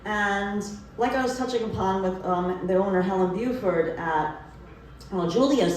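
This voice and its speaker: A woman's voice: mid-range voice, darkish tone, a little hiss or hum. A woman with a New York accent talking casually at a book event. She rambles, with many fillers and restarts. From New York City. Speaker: author and photographer. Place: event room. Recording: roomy, distant from the mic.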